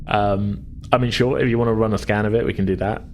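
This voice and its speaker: Low voiced guy running a tabletop rpg, kinda searching for words, bit of noise behind. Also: fairly even intonation, fast talker. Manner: hesitant, searching phrasing with hedged descriptions. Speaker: game master. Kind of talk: improvised narration of a tabletop game.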